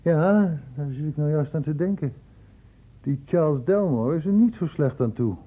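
An actor playing a persistent male doctor investigating an infection in a Dutch radio drama, questioning deliberately. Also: a little high, expressive, narrow, tinny audio. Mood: urgent, insistent.

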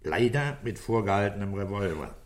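A man acts a middle-aged police commissioner in a radio play, his voice set low. He speaks in a measured, paternal way, questioning calmly and with patience. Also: natural voice. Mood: gently reasoning. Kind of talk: German radio play acting.

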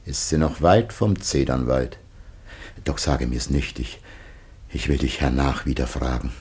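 An ancient, dying father speaks in an extremely deep, badly husky voice. He declaims slowly and gravely, with halting pauses, in the solemn manner of classical radio drama. He is anguished, yet tender toward his children. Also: darkish tone, exaggerated voice. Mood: weary resolve. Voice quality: husky, raspy.

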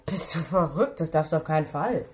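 Seasoned lady cop, lowish voice, dry and teasing but stern, radio crime drama. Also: animated, a little fast, slight background noise. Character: experienced policewoman, teasing yet stern mentor to a rookie.

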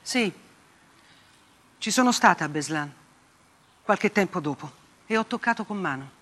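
An older actress playing a haunted, bitterly ironic woman journalist in a theatrical radio monologue, speaking deliberately and emphatically. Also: lowish voice, expressive, fairly bright tone. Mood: grave witness. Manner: emphatic, deliberate delivery with rhetorical repetition. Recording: music bed behind the voice. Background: plucked guitar-like music.

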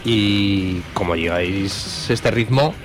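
A man's voice: lowish voice, slow, music in the background. A man in casual conversation as a panellist on a radio show. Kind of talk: casual studio chat.

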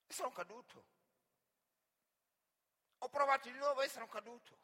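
An actor playing an earnest, wounded migrant worker in an emotive theatrical monologue. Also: male voice, extremely high pitch, steady tone, moderate pace, pushed and bright, exaggerated voice. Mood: anguished, frantic. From Senegal. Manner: emotive, storytelling delivery with repeated phrases. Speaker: stage actor and storyteller. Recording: reverberant hall.

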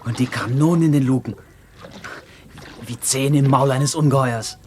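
Male actor as excitable young lad, wide-eyed, medium pitch, radio adventure, clear background noise. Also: normal speed, close to an everyday voice. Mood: awestruck. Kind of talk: German radio play acting.

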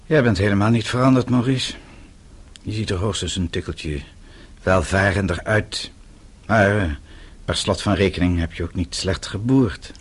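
An actor playing a bitter, defiant middle-aged exile in a radio play, sardonic and accusing. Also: male voice, sits low, normal speed, breathy edge. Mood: sardonic, guarded. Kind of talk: Dutch radio play acting.

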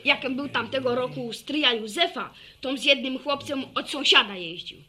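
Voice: high-pitched, normal speed, sharp tone. A woman playing an enthusiastic, know-it-all village boy who lectures on technical detail in a radio drama. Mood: lively, boastful. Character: eager motorbike-mad village boy, chatty know-it-all. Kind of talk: Polish radio drama acting.

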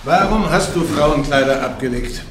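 An actor in a German radio play playing a church inquisitor who questions the accused in a measured, pointed way. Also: male voice, fairly high pitch, lively intonation, noisy background, lightly acted. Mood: probing.

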